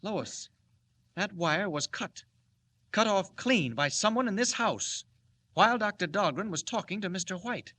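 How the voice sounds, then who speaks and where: An actor playing a timid, earnest newspaper reporter who stammers when flustered, in 1940s radio style. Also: male voice, high voice, animated, measured pace, sharp tone, breathy edge, heavily acted. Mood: anxious. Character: timid, anxious, mild-mannered male newspaper reporter.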